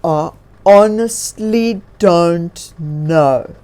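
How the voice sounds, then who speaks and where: Storybook bear: woman doing a lost male grizzly, lower register, very slow and weighty. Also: warm tone. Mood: dejected, weary. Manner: slow, heavy, drawn-out delivery.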